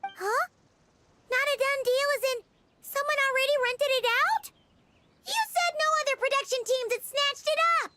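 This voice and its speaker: A woman voicing a small, chirpy, talkative fairy-like girl companion with a childlike squeak in a video game cutscene. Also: normal speed, very noisy. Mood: surprised. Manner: speaks of herself in third person, exclamatory.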